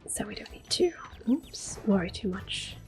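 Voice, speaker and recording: A woman in soft, unhurried whispers, commenting on her gameplay as an ASMR gamer. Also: medium pitch, animated. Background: soft game music and controller clicks.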